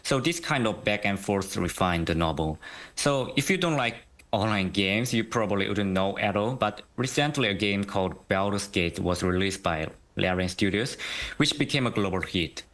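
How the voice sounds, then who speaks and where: A young man, a guest translator giving measured interview answers that often begin with "so". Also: on the low side, lively intonation, fairly bright tone. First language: Korean. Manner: measured, starts many sentences with "so".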